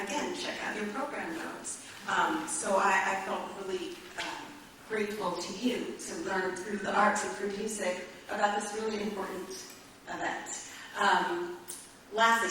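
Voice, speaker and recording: A woman's voice: medium pitch, sharp tone. Echoey, distant woman welcoming a concert audience. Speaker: artistic director and host of a concert series. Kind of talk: informal welcome speech to a live concert audience. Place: indoor concert venue with live audience. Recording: very echoey hall, distant mic, faint noise.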